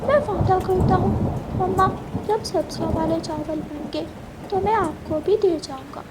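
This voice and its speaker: A woman's voice: very high-pitched, a little slow, noisy background, pitched above the performer's own voice. A woman voicing an eager little boy bird in a children's cartoon, pleading earnestly in a childlike way. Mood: pleading, hopeful.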